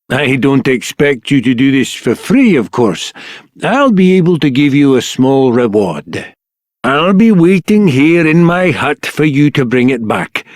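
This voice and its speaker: An actor with a British accent playing a gruff, short-tempered mountain workman in a video game, blunt and conversational. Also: mid-range voice, no room sound, studio quality. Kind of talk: video game character acting.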